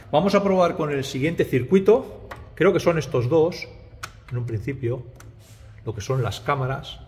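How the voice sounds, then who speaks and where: A man's voice: medium pitch, darkish tone. A middle-aged man, an electrician casually explaining his work to viewers and repeating words as he goes. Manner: talks to viewers as "chicos", repeats words, frequent "¿vale?". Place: small indoor restaurant back room. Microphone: body-worn camera, first-person view.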